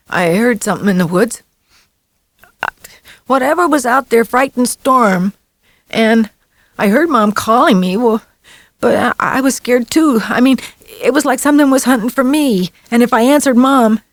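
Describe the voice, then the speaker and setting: A woman acts out a frightened adolescent boy in an audiobook thriller. His voice is pitched high and tight with emotion, halting and wailing, in a close, dry recording. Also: expressive, no echo. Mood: scared, halting.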